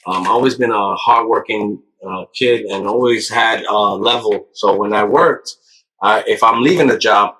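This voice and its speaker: A man in his thirties with a bright, forward tone and a husky edge tells his own story in a relaxed podcast chat. He rambles, restarts, and drops "you know" constantly. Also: fairly low pitch, rough recording. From United States. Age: 30s. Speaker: podcast guest.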